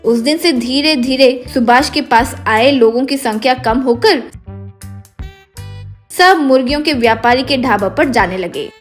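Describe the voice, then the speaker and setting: High-pitched woman narrating a story. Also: measured pace, soft background music.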